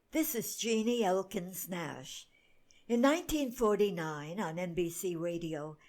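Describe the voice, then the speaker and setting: A woman's voice: medium pitch, a little mellow. A woman giving a scripted personal introduction as a guest performer.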